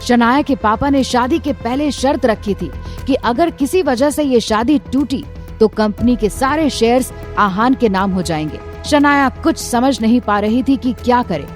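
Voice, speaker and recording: High-pitched woman narrating a drama. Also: animated, a little slow. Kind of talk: scripted dramatic story narration. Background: background music.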